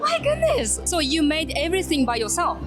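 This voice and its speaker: A woman with a high, very lively voice hosts a casual vlog. She is effusive and admiring as she presents and interviews. Music plays clearly behind her. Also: fairly quick. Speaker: vlog host interviewing attendees. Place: anime convention.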